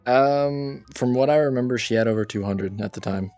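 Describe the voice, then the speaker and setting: A man's voice: medium pitch, moderate pace, a little mellow, soft background music. A man chatting casually with friends as a participant on a talk show. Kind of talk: casual chat among friends.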